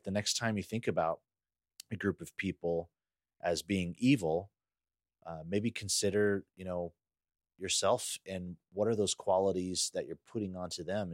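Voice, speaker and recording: Deep-voiced American man, informal podcaster. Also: no echo, studio quality. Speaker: podcast co-host. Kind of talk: casual podcast conversation.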